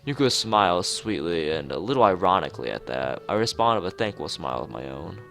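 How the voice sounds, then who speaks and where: A man reading a visual novel aloud as a let's player, casually, with halting, rambling asides. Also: deep voice, sing-song, vocal fry, a bit bright. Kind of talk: casual game narration with side commentary. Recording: music behind the voice. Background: game music.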